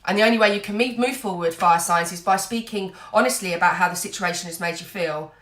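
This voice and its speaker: A woman's voice: medium pitch, quick pace, a bit bright, reverberant. A woman giving an earnest, reassuring tarot reading while full of cold, dotting her talk with "you know". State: has a cold, a bit snotty. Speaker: tarot reader.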